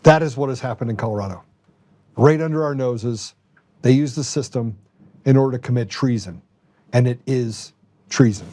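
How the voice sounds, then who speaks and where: A man's voice: mid-range voice, normal speed, pauses often, dark tone. A man hosting a political talk show, giving opinionated commentary in an emphatic way and repeating phrases for effect.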